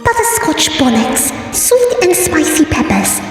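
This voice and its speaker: Cartoon sea-pony princess played by a woman: young, high, chirpy, evasively cheerful, in heavy echo. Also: big pitch swings, a little slow. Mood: cheerful, hostly. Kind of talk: cartoon comic dub. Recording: echoey hall, distant, music behind.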